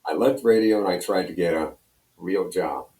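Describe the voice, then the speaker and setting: A mature American man with a very low, soft-edged voice tells stories in a loose, meandering, conversational style. He sounds some distance from the microphone in an echoey room. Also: moderate pace. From Pennsylvania, US. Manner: rambling, conversational, repeats you know. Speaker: radio program director and personality, interview guest. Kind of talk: casual storytelling in interview. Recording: roomy echo, distant from mic.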